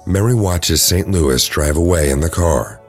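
A man's voice: sits low, lively intonation, a little slow, faint music behind. A man reading scripted narration for an audio drama.

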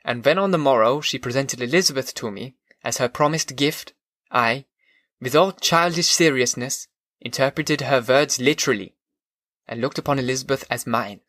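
An earnest gentleman, acted by a male audiobook reader, recounts his life with a German accent. His delivery is formal and measured, in a medium pitch. The recording is dry and free of room sound. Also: no echo, close to an everyday voice. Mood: earnest, devoted. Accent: put-on German. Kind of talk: audiobook narration of classic novel.

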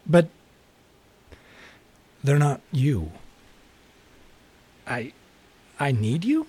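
Audio drama role: needy, teasing young guy, lively pitch swings, slightly husky. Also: extremely slow, exaggerated voice. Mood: needy pleading. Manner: teasing, pleading. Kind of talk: naturalistic audio drama acting.

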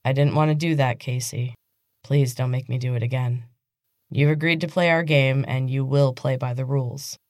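A woman narrator plays a two-faced teenage boy in a fantasy story. The voice is low and held back, with quiet control. The sound is dry and close. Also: steady tone, moderate pace, no echo. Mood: cold menace. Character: deceitful teenage boy, outwardly sheepish, quietly menacing.

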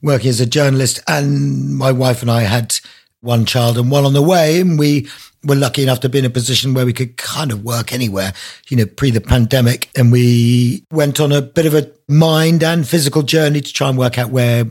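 Middle-aged male author as guest, husky edge, mid-pitched, discursive and descriptive in conversation. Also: a little fast, a little mellow. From Isle of Man. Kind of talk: conversational interview answers. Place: study at home, indoors. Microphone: video call.

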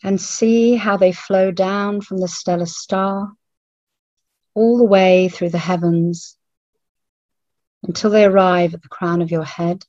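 Very slow woman leading a guided meditation. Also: lowish voice, steady tone. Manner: hushed, soothing, with long pauses between short phrases. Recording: roomy, phone-like narrowband audio.

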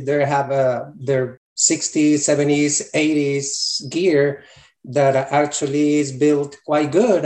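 A middle-aged man with a Colombian Spanish accent talks through his answers haltingly, with many restarts and fillers. His voice sits a little high for a man. The recording has a noticeably echoey room sound. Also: darkish tone. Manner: hesitant, many fillers and restarts, loose grammar. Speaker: repair shop owner, guest. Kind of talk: conversational interview answers.

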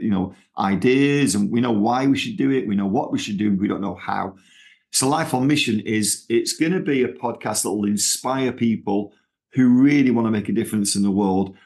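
A middle-aged man with a Manchester accent speaks very low, his voice gravelly with fry. He talks loosely as an interview guest, circling back with fillers and restarts. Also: darkish tone. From England. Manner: rambling, with many fillers and restarts. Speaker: ministry founder, guest. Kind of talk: conversational interview.

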